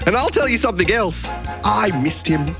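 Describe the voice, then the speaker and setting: Man putting on a dramatic Australian woman, animated. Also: high voice, moderate pace, gravelly, over music, pitched above the performer's own voice. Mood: confessional. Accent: put-on Australian. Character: sassy, dramatic woman confiding in a friend, Australian accent. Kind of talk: hammy amateur scene reading.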